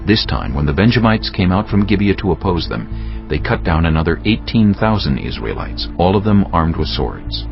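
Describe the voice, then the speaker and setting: A man's voice: sits low, very animated, normal speed, gravelly, lo-fi sound, faint music behind. A man narrating dramatized scripture as a solemn storyteller.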